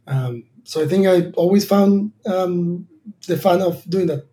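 A man's voice: sits high, measured pace, mellow. A man in his thirties from Argentina, a startup founder answering interview questions thoughtfully, with many fillers and restarts. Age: 30s.

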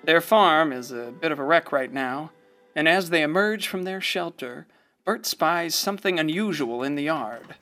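A man's voice: a little high, expressive, moderate pace, lightly acted. A man as a folksy storyteller, music behind. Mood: wry, gossipy. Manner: chuckling, hesitant, theatrical. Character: folksy, wry small-town storyteller, fond and rambling. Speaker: narrator. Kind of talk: conversational scripted radio play narration. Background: prominent music.

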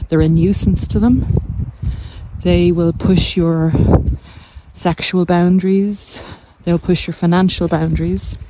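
An Irish woman speaks in a fairly low voice, explaining things in a measured, halting way. It is a casual walk-and-talk, recorded outdoors with some noise around her. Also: fairly even intonation, dark tone. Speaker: podcast host and narcissism recovery coach. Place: outdoors on a coastal cliff walk. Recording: noisy outdoor handheld recording.

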